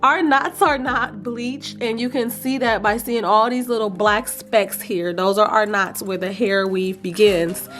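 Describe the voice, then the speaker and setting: A woman vlogger chatting casually and playfully to viewers about beauty products, with a slightly raspy, froggy voice and an informal manner. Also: mid-range voice, steady tone, measured pace, few pauses, fairly bright tone, soft background music. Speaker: vlogger reviewing wigs.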